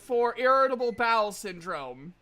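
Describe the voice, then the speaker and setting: A streamer hosting a casual group chat on a livestream, joking crudely and given to animated exclaiming. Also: medium pitch, moderate pace, crowd noise. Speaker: streamer hosting a tabletop game session.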